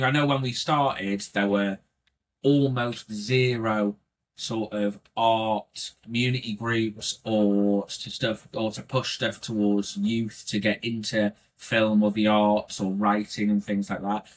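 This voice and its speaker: A man's voice: lowish voice, steady tone, measured pace. A man from North Wales with a North Welsh accent, an indie filmmaker guest talking in an earnest, reflective way and often restarting his sentences. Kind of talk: casual podcast interview.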